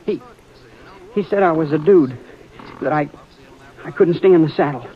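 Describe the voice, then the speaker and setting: A man plays a young city-bred greenhorn who is shaken but stubborn and talks in a halting way. His voice sits a little higher than usual for a man and comes through a dull old radio recording. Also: natural voice. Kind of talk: 1950s radio western drama.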